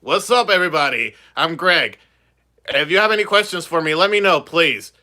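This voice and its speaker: A cheery puppet lizard with a quick, eager voice, as a man puts him on. The voice sits a little high and sounds bright. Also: lively intonation. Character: friendly upbeat puppet lizard.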